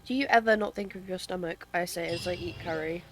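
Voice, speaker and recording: A woman's voice: fairly low pitch, fast talker, background noise. A woman streaming a game, giving casual, playful, slangy commentary.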